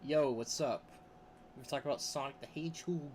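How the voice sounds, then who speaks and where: A man's voice: a little fast, crowd noise. A man chatting casually on a livestream, rambling with restarts and laughing often between thoughts. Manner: says his voice range is pretty high. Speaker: streamer. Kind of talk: casual livestream chat, reading chat aloud.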